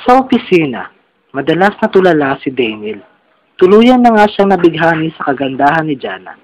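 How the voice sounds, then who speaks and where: High-pitched, lively Filipino male narrator. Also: normal speed, rough recording. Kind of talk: scripted storytelling narration for radio drama. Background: music.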